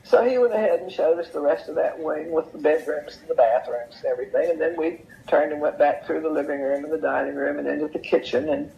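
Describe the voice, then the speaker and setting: An older woman tells anecdotes in an unhurried, folksy way, repeating phrases for emphasis as an interview guest. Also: sits low, lively intonation, gravelly, warm tone. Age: older, over 70. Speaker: interview guest sharing memories. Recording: dull, lo-fi sound.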